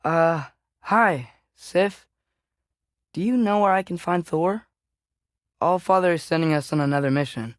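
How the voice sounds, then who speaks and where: A man's voice: a little high, unhurried, long pauses, no room sound, close to an everyday voice. Guy voicing an earnest american teen boy adventurer in a video game, restless, really dry close studio sound. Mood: earnest, hesitant. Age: teenager. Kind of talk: video game character dialogue.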